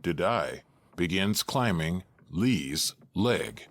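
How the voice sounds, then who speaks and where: Deep, slow male narrator. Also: expressive. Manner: detached, matter-of-fact. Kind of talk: scripted narration of stage directions.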